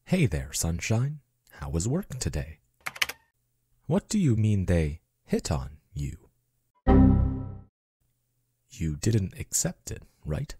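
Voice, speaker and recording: A man's voice: low-pitched, sing-song, slow, long pauses, loud music behind the voice, heavily acted. A man playing a devoted male chatbot companion in an ASMR roleplay, soothing and earnest, affectionate and a little possessive. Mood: protective.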